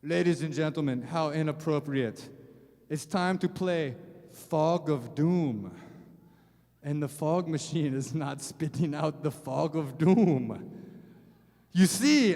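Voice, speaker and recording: A man voicing a gleeful, flesh-eating zombie lord puppet in a theatrical comic act, with a gravelly voice and a grandiose, menacing manner. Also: a little high, warm tone. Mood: sly, menacing. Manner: mock-grandiose, menacing, drawn-out delivery. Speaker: puppet show performer and singer. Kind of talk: theatrical comic monologue and song. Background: music.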